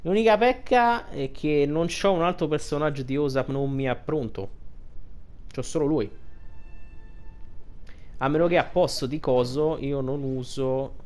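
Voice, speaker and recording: A man's voice: a little high, unhurried, pauses often, darkish tone. Man casually commenting over a video game. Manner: colloquial, swearing, thinking aloud. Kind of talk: casual live gameplay commentary. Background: game music.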